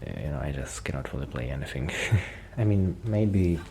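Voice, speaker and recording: A man with an extremely low, gravelly voice speaks softly, as in an ASMR roleplay. He rambles shyly and hesitantly, like a friend chatting casually. There is clear noise in the recording. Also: lively intonation, normal speed. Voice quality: soft-spoken, sometimes dropping toward a whisper. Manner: shy, apologetic, hesitant and rambling. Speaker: ASMR roleplay performer playing a musician friend.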